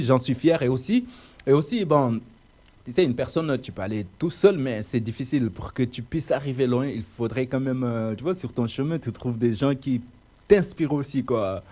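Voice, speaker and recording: A man's voice: mid-range voice, mellow. A young man being interviewed about his path into radio, talking earnestly and colloquially, sprinkling his sentences with casual fillers. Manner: earnest, colloquial, heavy use of "tu vois" and "quoi" fillers. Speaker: radio presenter interviewed as guest.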